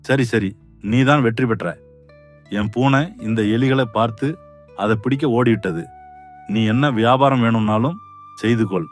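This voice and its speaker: A man's voice: medium pitch, unhurried, pauses often, faint music behind, lightly acted. A man voicing a greedy, scheming king in a children's cartoon, speaking in a stern, boastful, commanding way. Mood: grudging. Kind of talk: cartoon voice acting.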